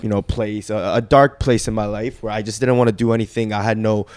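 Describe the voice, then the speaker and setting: A man's voice: on the low side, fairly even intonation, fairly quick, darkish tone, clean tone, no echo. A young man from Korea, a guest on a casual podcast, telling his life story in a laid-back way with lots of fillers. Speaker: guest, boxing trainer.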